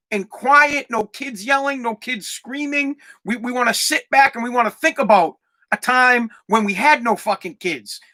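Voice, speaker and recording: A man in his forties hosting a comedic solo podcast, ranting in a profane, rambling way with lots of stammered restarts and bursts of shouting. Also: very high-pitched. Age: 40s. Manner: exaggerated, swings into loud shouting. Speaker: podcast host.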